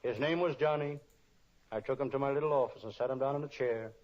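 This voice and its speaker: A wisecracking, kind-hearted private detective, acted by a man with a medium-pitched voice. The style is old radio detective spoof. The recording is dull and lo-fi. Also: fast talker, lightly acted. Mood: gentle, serious.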